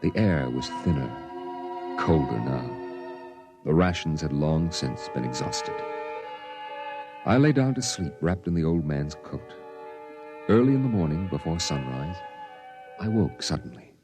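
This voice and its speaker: A man narrating a 1950s radio drama in a grave, measured storytelling voice. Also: rumbling low, lively intonation, over music.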